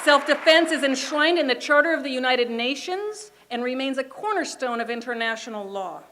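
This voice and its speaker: Middle-aged female rally speaker, native Hebrew speaker from Israel, higher-pitched voice, emphatic and deliberate prepared oratory. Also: lively intonation, a bit bright, a faint crowd, a little hiss or hum. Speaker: community organization chair speaking at a rally. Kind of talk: prepared political speech.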